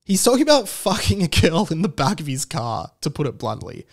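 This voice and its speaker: Higher-pitched Australian man hosting a podcast. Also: big pitch swings, brisk, gravelly. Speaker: podcast host. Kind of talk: casual solo podcast commentary.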